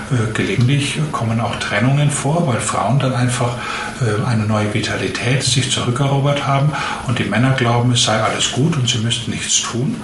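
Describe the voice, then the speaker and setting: A man in his late fifties, a doctor, giving long, measured explanations in an interview. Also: medium pitch, a bit bright, reverberant, slight background noise. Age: 50s. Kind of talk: interview answers.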